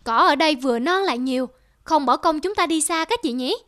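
A woman voicing a cautious, sisterly cow in an animated fable, earnest and companionable. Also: high voice, fairly quick. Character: cautious, sisterly cow grazing with her herd.

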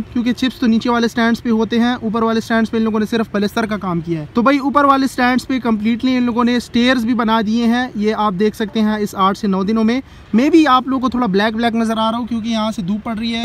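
A young man with a Pakistani Urdu accent and a notably high voice gives a chatty, enthusiastic walking tour of an outdoor construction site. He talks into a phone held at arm's length, keeps calling his viewers "bhai", and there is distant traffic behind him. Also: fairly bright tone. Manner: chatty and enthusiastic, repeats "bhai" and "dekh sakte hain". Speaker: vlogger. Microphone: phone camera at arm's length.

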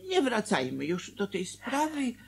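An actress playing an excitable, devoted, pious wife in a Polish radio drama, with stammered exclamations and repetitions. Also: female voice, medium pitch, measured pace, vocal fry, slight background noise. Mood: uneasy, pleading.

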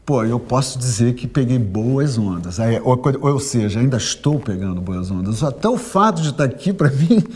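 A man in his fifties with a carioca accent tells his story in a warm, mellow voice. His manner is relaxed and animated. He is speaking in a studio interview. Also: medium pitch, moderate pace, few pauses. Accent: Carioca Brazilian Portuguese. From Rio de Janeiro. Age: 50s. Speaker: interviewee, bookseller. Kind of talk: reflective testimonial. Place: studio with gold curtain backdrop.

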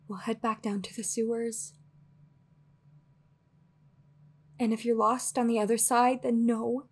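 A woman playing a weary, guarded sorceress in an audio roleplay, earnest and anxious, with a breathy, husky voice and hesitant, sighing phrasing. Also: on the high side. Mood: resigned. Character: weary guarded female sorceress, anxious, fiercely logical, secretly lonely. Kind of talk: dramatic audio roleplay acting.

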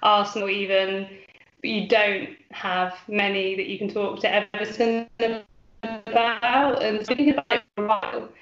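A woman in her twenties from the English Midlands, talking casually as a guest on a video call from a small room at home, relaxed with many pauses and ums. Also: mid-range voice, soft background music. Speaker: guest, fan channel creator. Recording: echoey room, dull lo-fi call audio.

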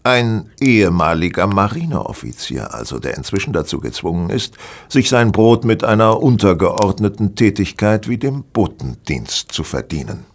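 Aloof master detective, radio drama: deep-set voice with very animated intonation, condescending, deliberate when explaining. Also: male voice, vocal fry, exaggerated voice. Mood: lecturing, self-assured. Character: brilliant, aloof, self-assured male gentleman detective. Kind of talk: German audio drama acting.

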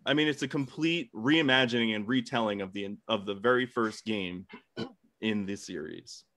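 Brief: young male debater, bright forceful voice, sweary casual banter, close dry mic. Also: fairly low pitch, normal speed, dry recording. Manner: sweary, joking. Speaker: debate contestant.

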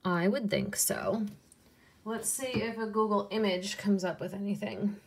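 Woman, young adult, unhurried, slow relaxed talk, soft muttered asides, casual haul video. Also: medium pitch, husky. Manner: unhurried, softly muttering asides, cooing over pieces.

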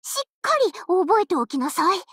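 A woman's voice: extremely high pitch, big pitch swings, normal speed, fairly bright tone, no room sound, clean studio sound, heavily acted. A woman voicing a haughty, girlish young fleet leader in an anime game, pompous and commanding but easily flustered. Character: haughty young warship girl, self-styled charismatic fleet leader. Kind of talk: Japanese anime game character voice lines.

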